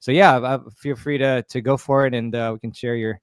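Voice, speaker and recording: A man's voice: medium pitch, steady tone, fairly quick, clean tone, dry recording, clean studio sound. A man hosting an online interview, upbeat and friendly, with lots of fillers. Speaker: podcast host.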